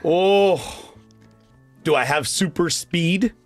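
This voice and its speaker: A man's voice: high voice, very slow. A Canadian man hosting a children's radio show, chatting casually and encouragingly and putting quiz questions to young listeners. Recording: music playing behind the voice.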